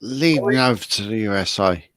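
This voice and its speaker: A man's voice: fairly low pitch, normal speed, fairly bright tone, no echo, studio quality. A man playing along as a quiz contestant, bantering casually and stubbornly protesting his case.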